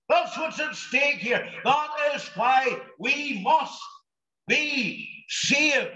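A man preaching an impassioned gospel sermon, pleading with his listeners and breaking into shouts, at a drive-in meeting. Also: very high-pitched. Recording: echoey, dull lo-fi recording.

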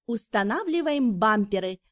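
A woman's voice: fairly high pitch, lively intonation, moderate pace, background noise. A woman narrating a children's educational cartoon, explaining things simply in scripted storytelling. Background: background music.

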